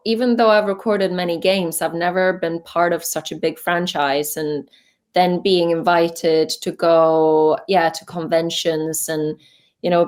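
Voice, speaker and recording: A young woman from Norway with a Norwegian accent, chatting casually as a voice actor guest in an interview, full of fillers and restarts. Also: on the low side. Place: home voice booth.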